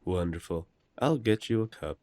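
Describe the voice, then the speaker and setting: A man playing a courteous, protective eldest werewolf brother in an audio roleplay, gently authoritative and polite. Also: low voice, expressive, normal speed, dry recording. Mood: gentle, reassuring. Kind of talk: roleplay audio drama acting.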